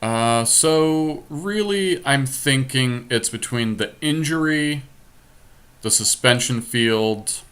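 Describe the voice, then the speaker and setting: A man's voice: medium pitch, takes it slowly, a bit bright. A man giving casual live commentary as he plays a card game draft, thinking aloud in a conversational, analytical way. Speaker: podcast host and player.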